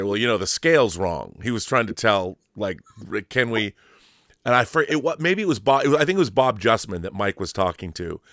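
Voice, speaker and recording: A man's voice: medium pitch, fairly bright tone, no room sound, clean studio sound. A man hosting a casual interview, eager and fannish, jumping from one thought to the next. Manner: eager, fan-like, jumps between thoughts. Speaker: interview host. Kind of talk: casual chat.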